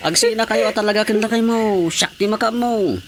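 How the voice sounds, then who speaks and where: Coaxing, impatient village officer. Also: male voice, high voice, a little fast, a bit bright. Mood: eager to help. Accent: Filipino. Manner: coaxing, questioning. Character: village officer, impatient but willing to help. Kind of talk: radio drama comedy acting. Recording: faint background noise.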